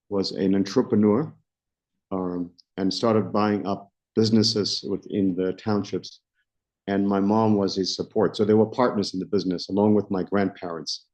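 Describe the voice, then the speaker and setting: Low, mellow South African man around sixty. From Johannesburg, South Africa. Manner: measured, searching for words, many restarts. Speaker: interview guest, company founder. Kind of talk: reflective interview answers. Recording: dull lo-fi recording.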